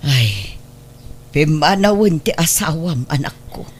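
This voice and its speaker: A woman's voice: deep voice, very animated, measured pace, slightly rough, exaggerated voice. A woman playing an older mother and doting grandmother, anxious and remorseful, in an Ilocano-accented radio drama. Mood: sorrowful, consoling. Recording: music bed behind the voice.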